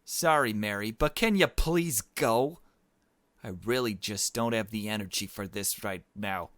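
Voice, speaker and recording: A man's voice: fairly low pitch, a little slow, a bit bright, dry recording. A man voicing an irritable, weary young man in a visual novel. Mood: exasperated.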